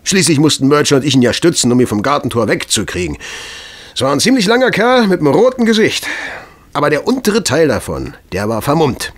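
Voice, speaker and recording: An actor playing a talkative, down-to-earth London police constable in a German audio drama, telling things in a colloquial way. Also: male voice, fairly high pitch, huge pitch swings, a little fast. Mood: chatty recalling. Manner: colloquial, chatty storytelling.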